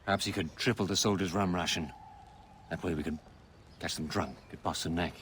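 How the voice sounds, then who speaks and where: A commanding rebel leader speaks with a deep, forceful voice. He is stern and blunt. Light noise sits behind him. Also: a little fast. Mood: planning. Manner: harsh, commanding.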